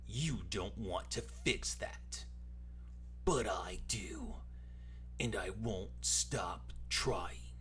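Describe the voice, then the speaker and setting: A man voicing a melodramatic, indignant online arguer in a theatrical, breathy dramatic reading. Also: on the low side, a bit bright. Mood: solemn vow. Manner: slow, theatrical, breathy whispering. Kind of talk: dramatic reading of social media posts. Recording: noisy recording with clear hum.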